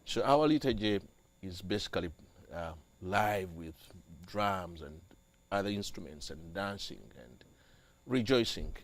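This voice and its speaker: A young man from Uganda, a church music director, speaking as an interview guest with halting, repeated phrases. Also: low-pitched. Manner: halting, repeats and restarts phrases, grows animated on liturgy. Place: TV studio.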